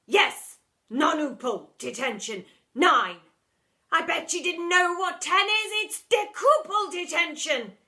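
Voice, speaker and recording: A woman voicing a booming, bellowing old deputy headmistress who has gone wild. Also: expressive, takes it slowly, sharp tone, exaggerated voice.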